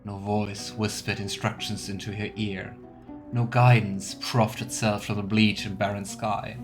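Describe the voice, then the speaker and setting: Let's play host: low male voice, gaming commentary and read-aloud game text, faint music underneath. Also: little pitch movement, a little slow. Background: soft game music.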